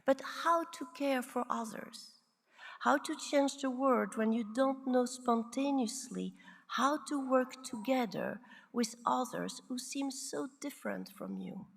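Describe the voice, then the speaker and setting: A woman in her sixties from Belgium, a psychiatrist giving a prepared, reflective conference talk with measured, deliberate phrasing. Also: sits high, very animated, creaky, big-room sound. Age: 60s. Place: large conference hall.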